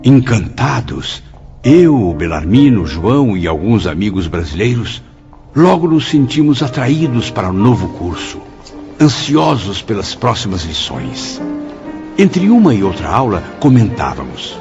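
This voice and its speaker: An actor playing an earnest spirit who narrates his own story in literary, expressive radio-drama style. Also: on the low side, unhurried, gravelly, full character voice. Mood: earnest, admiring. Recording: dull recording with music behind.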